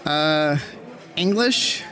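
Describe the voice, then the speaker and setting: A man's voice: fairly high pitch, lively intonation, very slow, pushed and bright, faint music behind, some chatter behind, exaggerated voice, low-quality recording. A man playing a jittery, stammering scientist on the run. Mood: nervous. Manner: nervous, rambling, stammering. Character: anxious male scientist on the run, paranoid. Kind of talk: amateur audio drama acting.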